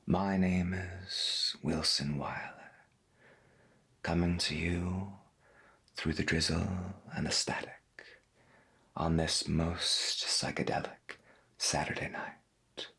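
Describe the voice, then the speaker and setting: A man playing a folksy, gentle veteran pirate radio host, speaking softly and slowly with a breathy voice in an ASMR roleplay. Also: low-pitched, steady tone, exaggerated voice. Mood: calm, intimate. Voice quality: breathy, husky. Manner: slow, deliberate, folksy, half-whispered. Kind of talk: soft-spoken ASMR roleplay as 1990s AM radio.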